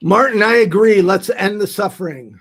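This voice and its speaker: A man's voice: high-pitched, moderate pace, a bit bright. A man in his late fifties talking casually and candidly to livestream viewers, earnest and emphatic as he makes his points. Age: late 50s, nearly 60. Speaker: nonprofit founder hosting a livestream.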